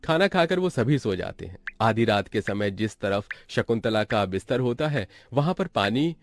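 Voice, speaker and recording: A man's voice: lowish voice, lively intonation, moderate pace, no echo. A man reading scripted narration as the narrator of a story.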